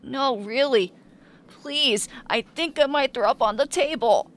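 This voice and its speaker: A snarky teenage schoolgirl played by a woman with a highish voice. She is exasperated and sardonic, in radio-play style. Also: a little slow. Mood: pleading. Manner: snarky, muttering asides. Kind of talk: audio drama acting.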